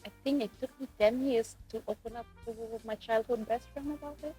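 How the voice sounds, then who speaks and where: A woman's voice: on the high side, brisk, dark tone, soft background music. A woman in her late twenties from Fiji, giving a personal account as an interview guest. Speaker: podcast guest, postgraduate student. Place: couch in a room.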